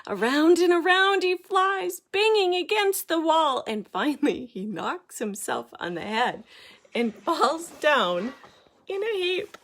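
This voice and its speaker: A woman reading a children's story aloud as an expressive narrator, dramatic and deliberate in her delivery. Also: high-pitched. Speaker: storybook reader.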